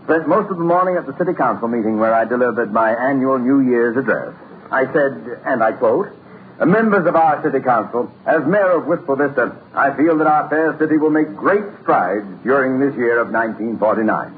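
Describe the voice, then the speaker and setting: An actor playing a genial, absent-minded middle-aged mayor in a 1950s radio comedy, stately and slightly pompous. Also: male voice, medium pitch, expressive, fairly quick, natural voice. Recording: noisy, tinny old radio broadcast recording.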